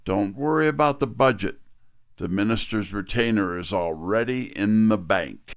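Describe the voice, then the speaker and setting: A man's voice: fairly low pitch, moderate pace, clean tone, close to an everyday voice. A man playing a wry, businesslike detective's assistant, narrating and talking in hard-boiled style for a detective drama. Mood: brisk, instructing. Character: brisk, wry male detective's right-hand man. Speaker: narrator and character actor.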